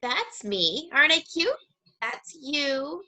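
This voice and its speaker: A woman's voice: sits high, animated, slow pace, sharp tone, exaggerated voice. A woman playing a bubbly, cute five-year-old girl in a childlike manner at a script reading. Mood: proud, cute.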